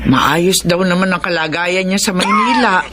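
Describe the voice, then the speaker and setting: A woman's voice: lowish voice, very noisy, lightly acted. An actress in a radio drama playing a caring mother who worries about her husband's illness and her absent daughter. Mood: worried. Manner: gentle, worried, unhurried.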